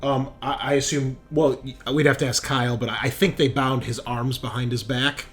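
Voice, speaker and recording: A man running a tabletop roleplaying game as game master, narrating casually, with loose swearing and excitable reactions. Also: mid-range voice, normal speed. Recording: background music under the voice. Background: music.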